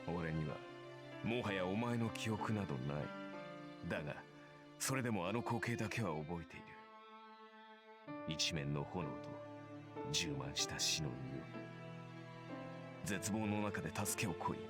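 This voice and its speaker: An actor playing a stern, scornful man in a Japanese visual novel, speaking in a cold, accusing, deliberate way. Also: very deep voice. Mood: grave, remembering. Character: stern, scornful man confronting a younger idealist. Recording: game dialogue over loud music.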